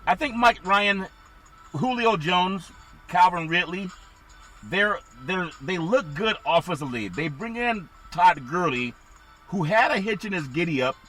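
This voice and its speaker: A man hosting sports talk with a bright, forceful edge to his voice. It sounds a touch scratchy and raspy today. He rambles through team after team and keeps asking his partner for his thoughts. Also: high-pitched, lively intonation, a little slow, soft background music. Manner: rambling, asks co-host for thoughts.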